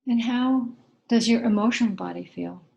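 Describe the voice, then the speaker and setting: A woman leading a guided meditation in a gentle, unhurried way, leaving long pauses between short instructions. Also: fairly high pitch, creaky.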